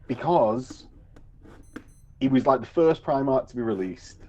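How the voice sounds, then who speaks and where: A man co-hosting a casual hobby chat, relaxed and hesitant, often repeating words. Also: mid-range voice, unhurried, muffled recording. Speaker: co-host.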